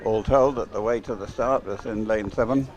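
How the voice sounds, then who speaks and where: A man's voice: fairly low pitch, fairly even intonation, normal speed, darkish tone, muffled recording. A man giving live race commentary in a conversational, hesitant way, often thinking aloud.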